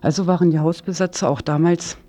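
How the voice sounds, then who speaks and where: A man presents a radio news report from a script. His voice sits on the high side for a man, with a dry sound and no room echo. He occasionally stumbles over words while reading. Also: fairly even intonation, fast, warm tone.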